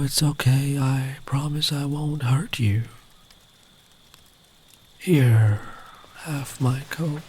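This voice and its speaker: A man playing a big, kind traveller in the woods in an ASMR comfort roleplay, speaking gently and soothingly, often close to a whisper. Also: mid-range voice. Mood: caring. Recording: clear background ambient noise.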